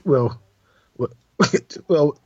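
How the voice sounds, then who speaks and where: A man's voice: fairly high pitch, extremely slow, darkish tone, no room sound, dull audio. A British man chatting casually as a podcast co-host, jokey and full of opinions. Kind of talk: casual chat.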